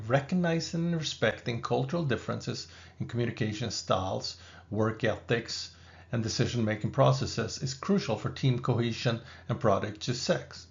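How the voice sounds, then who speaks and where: Gravelly swedish-accented professor, measured lecture voice-over, slightly dull recording. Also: male voice, medium pitch. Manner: measured, explanatory, with occasional asides. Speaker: business school professor.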